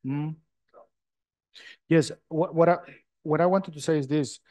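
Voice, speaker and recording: A man from Chile with a Chilean Spanish accent, answering audience questions after a lecture, halting and restarting often. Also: fairly high pitch, steady tone, a little mellow, dry recording. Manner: halting, many restarts, non-native phrasing. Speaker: lecturer in structural design.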